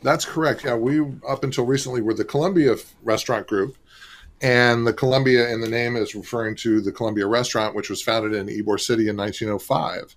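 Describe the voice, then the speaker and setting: A man's voice: mid-range voice, fairly quick. Florida guy, mid voice, laid back and chatty on a radio interview, lots of you knows. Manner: relaxed, chatty, many fillers. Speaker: restaurant marketing executive and co-author.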